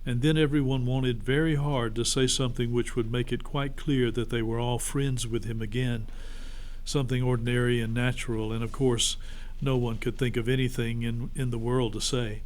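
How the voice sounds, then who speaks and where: A man's voice: mid-range voice, little pitch movement, moderate pace, rough recording. A man reading a children's storybook aloud as a narrator.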